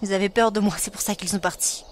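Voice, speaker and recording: A woman playing a gentle, reserved, anxious royal elder sister in a fan dub, speaking in a restrained, measured way. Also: medium pitch, steady tone, slight background noise, lightly acted. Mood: sorrowful. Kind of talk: animated-fantasy fan dub acting in French. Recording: clear music behind the voice.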